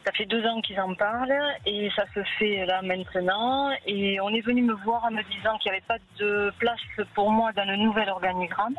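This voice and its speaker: Woman caller, about sixty, light Toulouse accent, earnest and halting, on a dull phone line. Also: medium pitch, steady tone, soft background music. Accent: light Toulouse, southern French. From Toulouse region, France. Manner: earnest, hesitant, explaining a grievance.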